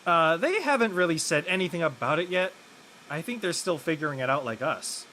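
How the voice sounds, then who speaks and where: A man playing an awkward, earnest teenage high school boy in an audio play, with a dry, slightly flustered manner. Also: expressive, brisk, pushed and bright, a little hiss or hum. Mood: casual, friendly. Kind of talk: conversational acting in an online audio play.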